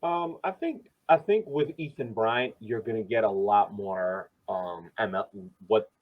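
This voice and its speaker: A man co-hosting a casual sports discussion, speaking haltingly and repeating the starts of his phrases. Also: fairly low pitch. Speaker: podcast co-host. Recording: dull, lo-fi voice track.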